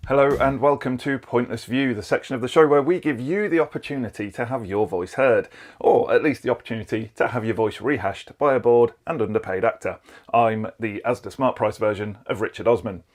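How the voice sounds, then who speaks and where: A man's voice: mid-range voice, a little fast. A man reading a scripted comedy segment in a dry, sardonic way. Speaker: segment narrator. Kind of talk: scripted narration.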